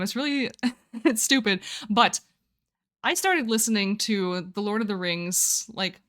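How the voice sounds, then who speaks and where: A young woman streaming live, chatting casually with her viewers, rambling and laughing often as she answers chat. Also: medium pitch. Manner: giggly, rambling, excitable.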